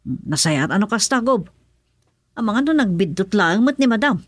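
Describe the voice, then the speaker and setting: A woman's voice: mid-range voice, very animated, moderate pace, dry recording, studio quality. An actress playing a governor's concerned female aide in a radio drama, with an Ilocano Filipino accent.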